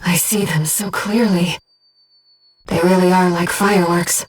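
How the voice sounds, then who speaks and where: A woman voicing a gentle, earnest disembodied being in a video game, guiding a pilot with composed, precise, softly formal delivery. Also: lowish voice, fairly even intonation, unhurried, husky, recorded from a distance, soft background music, rough recording. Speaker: mission support operator. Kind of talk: scripted video game voice acting.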